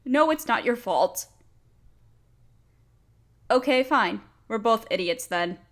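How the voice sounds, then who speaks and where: A woman's voice: on the high side, expressive, slow pace. A woman playing a nervous, smitten, nerdy young woman in an intimate romantic audio roleplay, speaking hesitantly with stammers and sighs. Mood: relieved, self-reproaching.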